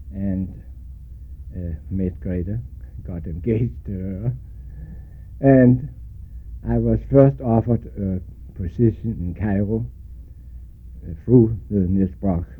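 An older man from Denmark with a Danish accent, recalling his life in an oral history interview, pausing often and spelling out names letter by letter. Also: on the low side. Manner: slow, spells out names letter by letter, many hesitations. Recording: tinny lo-fi recording with faint hiss.

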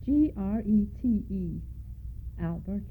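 An older Danish woman tells her life story in a middle-pitched voice, pausing to spell names letter by letter. She reminisces plainly and at length. The recording is thin and tinny, like an old phone line. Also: expressive, slight background noise. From Denmark. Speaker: interviewee. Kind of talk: oral history interview.

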